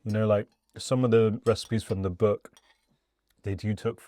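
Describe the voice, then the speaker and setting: A man's voice: lowish voice, level tone, normal speed, mellow, no echo, studio quality. A man from Wales hosting a casual interview over a meal, talking in an informal, conversational way. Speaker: interviewer and host serving food.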